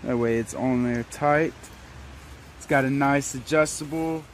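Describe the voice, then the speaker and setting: Slow-paced man doing a casual outdoor unboxing. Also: medium pitch. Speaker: product reviewer. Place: outdoors, wooded yard. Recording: faint outdoor background noise.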